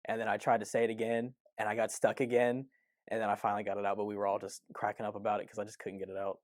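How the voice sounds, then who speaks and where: A man who stutters talks quickly in a flat, lowish voice. The recording is close and dry. He speaks candidly in an interview about living with a stutter. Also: no room sound. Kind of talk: documentary interview.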